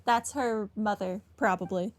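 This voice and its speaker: A woman's voice: mid-range voice, fairly even intonation, a little slow. A woman giving casual, wry playthrough commentary, musing aloud as she plays a video game. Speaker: game streamer. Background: game music.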